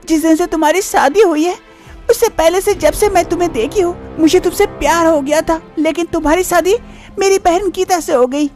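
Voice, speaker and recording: A woman voicing both twin sisters in a cartoon story, a young wife and her scheming sister, lively and teasing. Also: very high voice, a little fast, faint music behind. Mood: playful.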